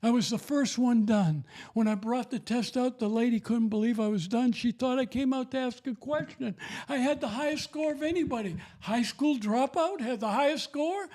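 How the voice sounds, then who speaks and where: A man's voice: extremely high pitch, normal speed, few pauses, a little mellow. An older man giving an emphatic, repetitive, preachy testimony about faith and raising children.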